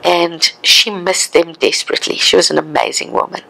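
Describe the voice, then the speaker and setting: A woman's voice: fairly low pitch, steady tone, normal speed, creaky, bright, forceful tone, rough recording. A woman in her sixties with a South African accent, looking back on her life in a reflective, conversational oral history interview. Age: 60s.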